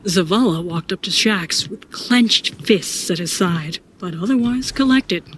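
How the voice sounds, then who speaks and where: A woman's voice: lowish voice, expressive, a little slow, fairly bright tone, slight background noise. A woman giving scripted, dramatic first-person narration as the narrator of an audio story.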